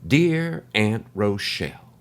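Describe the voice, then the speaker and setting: An actor playing a flamboyant, folksy travelling radio host, telling a scripted first-person story in a wordy, chatty, theatrical manner. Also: male voice, lowish voice, very animated, takes it slowly, heavily acted. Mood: excited, confiding. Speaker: show host narrator.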